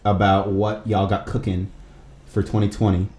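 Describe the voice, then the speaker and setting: A man with a fairly low voice hosts a casual podcast chat. He rambles in slangy, loose sentences, and the room is echoey with a faint noise behind him. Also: level tone, normal speed. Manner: rambling, slangy, heavy use of "like". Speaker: podcast host interviewing a band.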